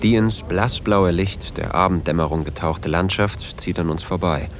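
Actor as introverted male traveler, very low voice, intimate hesitant delivery, romantic audio drama, clear background noise. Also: moderate pace, natural voice. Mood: intimate, longing. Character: introverted, flustered male train traveler narrating a romantic encounter.

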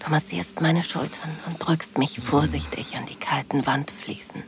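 A woman playing a loving, happy wife, narrating an intimate audio drama softly and breathily, often near a whisper. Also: sits low, steady tone, normal speed, background noise. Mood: sensual. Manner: soft, breathy delivery, often dropping to a whisper. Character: loving wife, happily moved, narrating her anniversary trip tenderly.

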